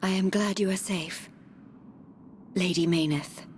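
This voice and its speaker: A woman playing a gentle, sorrowful member of a machine race in a video game, formal and measured. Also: on the low side, fairly even intonation, slight background noise, lightly acted. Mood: relieved, gentle. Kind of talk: video game cutscene acting.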